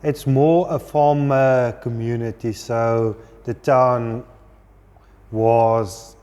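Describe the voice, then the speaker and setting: A man's voice: mid-range voice, steady tone, very slow, warm tone, big-room sound. A man from South Africa whose first language is Afrikaans, answering interview questions in an easygoing way. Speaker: guest.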